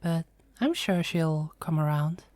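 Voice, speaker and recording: A woman's voice: deep voice, slow, a little mellow, no room sound. A woman playing a teasing, kindly Norse goddess in a conversational fantasy audio roleplay. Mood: gentle, chatty. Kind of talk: fantasy ASMR roleplay acting.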